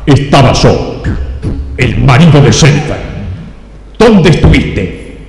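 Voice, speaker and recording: An actor playing a pompous, controlling rich husband in a comedic radio play, stern and drawn-out. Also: male voice, medium pitch, very animated, gravelly, a little mellow, exaggerated voice. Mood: suspicious. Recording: echoey hall with music behind.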